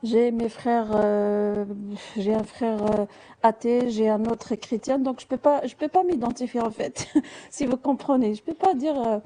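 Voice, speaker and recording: A woman's voice: fairly high pitch, unhurried, mellow, poor audio. A woman giving personal testimony in a live discussion, hesitantly searching for words and repeating small ones. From Algeria. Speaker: live audio discussion participant.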